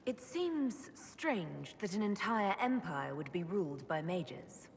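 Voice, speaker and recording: A fairly low female voice playing a frank, curious adventurer in a fantasy game. She is direct and composed as she probes, with lively intonation. The voice sits in a reverberant hall with faint ambient noise. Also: slow pace, vocal fry, heavily acted. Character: inquisitive, frank adventurer woman, questioning a companion. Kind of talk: video game dialogue acting.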